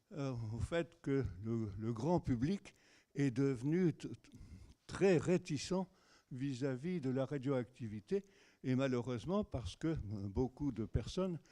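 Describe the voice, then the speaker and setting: A man moderating a conference, introducing the next speaker in hesitant, halting speech. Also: mid-range voice, warm tone. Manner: hesitant, many fillers and restarts. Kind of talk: introducing a lecture.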